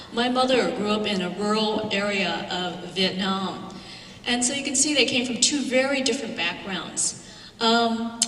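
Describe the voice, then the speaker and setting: A woman's voice: medium pitch, a bit bright, a little hiss or hum. A woman professor giving a symposium talk, telling a personal story in a conversational way with some fillers. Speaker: guest speaker, professor. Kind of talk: symposium lecture. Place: large lecture hall. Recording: echoey hall, far from mic.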